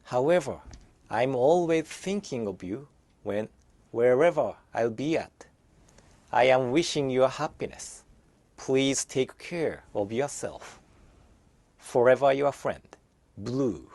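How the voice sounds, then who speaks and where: A man voicing a gentle, self-sacrificing male blue orc who speaks softly and hushed. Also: medium pitch, animated, slow, long pauses, no room sound. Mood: tender. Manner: hushed and soft.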